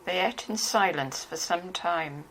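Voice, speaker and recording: A woman's voice: lowish voice, measured pace, a bit bright, low-quality recording. A woman narrating a novel from a script. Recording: faintly hissy home recording.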